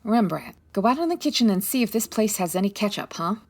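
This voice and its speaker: Woman playing a bossy, animated 1940s lady detective. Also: medium pitch, fast. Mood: confident scheming. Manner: take-charge, commanding. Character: brisk, bossy, confident 1949 female private detective. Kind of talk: comedic 1940s radio detective acting.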